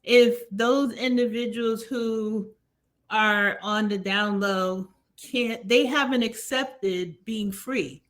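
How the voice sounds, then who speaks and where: A woman's voice: fairly high pitch, fairly even intonation, takes it slowly, fairly bright tone. Frank woman hosting a podcast chat. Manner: frank, conversational, agreeing and sharing. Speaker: podcast host. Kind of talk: casual chat.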